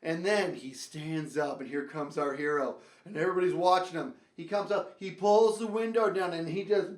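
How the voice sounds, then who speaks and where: A man's voice: a little high, moderate pace. A man hosting a comedic storytelling show, talking straight to viewers in a theatrical, exaggerated, emphatic way and repeating phrases. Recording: roomy echo.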